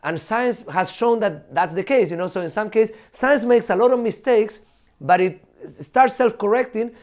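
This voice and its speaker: A high-pitched man, chatting as a podcast guest. He talks in an animated, loose way, full of fillers, laughs and the odd swear word. His voice sits well above the usual male range. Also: moderate pace. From Chile. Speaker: guest, professor. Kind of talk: casual podcast conversation.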